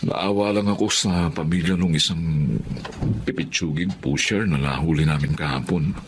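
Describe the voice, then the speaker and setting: Actor as a low-voiced, principled Filipino police sergeant. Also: male voice, normal speed, slight background noise, close to an everyday voice. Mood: sympathetic. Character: principled male police sergeant, stern yet compassionate. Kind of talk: Filipino radio drama acting.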